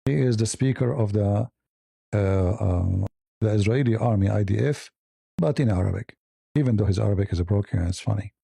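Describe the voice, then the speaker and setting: A man's voice: on the low side, normal speed, vocal fry, warm tone, husky, dry recording. A man hosting a live news commentary stream, blunt and opinionated, rambling with hesitant fillers and speaking English as a second language. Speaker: host.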